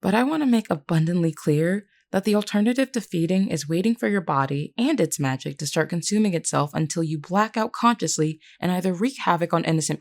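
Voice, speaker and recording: A woman voicing a gentle, candid vampire queen in an audio roleplay, speaking in a measured, reassuring way with formal wording to one listener. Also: lowish voice, gravelly. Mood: stern.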